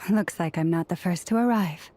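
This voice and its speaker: An actress playing a serene, modest woman in a video game, speaking gently and reassuringly without hurry. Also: lowish voice, normal speed, music in the background, close to an everyday voice. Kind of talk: scripted video game dialogue.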